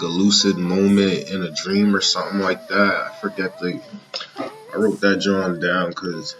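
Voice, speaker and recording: A thirty-year-old man giving a casual personal monologue to viewers, rambling and halting, often checking in with the listener. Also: low-pitched, sharp tone. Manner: rambling, halting, often checking in with "you know what I'm saying". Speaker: vlogger.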